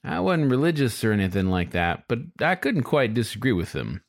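A man's voice: mid-range voice, sing-song, brisk, vocal fry, dry recording, clean studio sound. A man reading a scripted first-person story as its narrator. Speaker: story reader.

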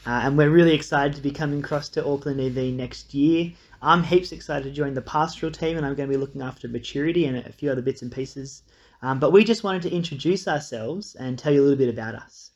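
A man introduces himself as an incoming pastor, friendly and earnest, with plenty of ums. His voice sits at a middle pitch, and the recording sounds a little dull. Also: fast talker. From Australia. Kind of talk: casual video introduction.

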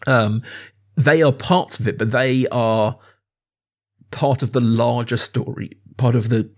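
A man's voice: mid-range voice, fairly even intonation, takes it slowly. Chatty man hosting a film podcast. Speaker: podcast host. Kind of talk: casual film discussion.

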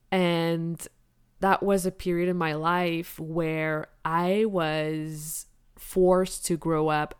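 A woman's voice: deep voice, clear, resonant tone, no room sound, studio quality. A woman who grew up in Quebec and speaks French natively, hosting a solo podcast as a business coach in an upbeat, candid, motivational way. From Quebec, Canada. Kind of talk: casual solo podcast monologue.

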